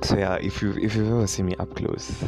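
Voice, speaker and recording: A young Kenyan man with a deep voice and a Kenyan accent, chatting casually on his own podcast, rambling with many fillers and frequent laughs. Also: level tone, brisk, over music. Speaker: podcast host. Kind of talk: casual solo podcast chat.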